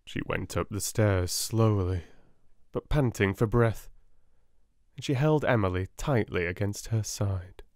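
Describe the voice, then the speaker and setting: A man with a British accent narrating an audiobook in a soft, gentle, measured way. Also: on the low side, dry recording, clean studio sound. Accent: British English. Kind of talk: soft-spoken audiobook reading.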